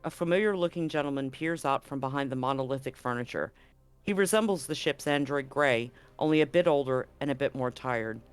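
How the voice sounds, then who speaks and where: A man's voice: medium pitch, a little fast, clean tone, soft background music. A man acting as game master, hosting and narrating a tabletop roleplaying game stream.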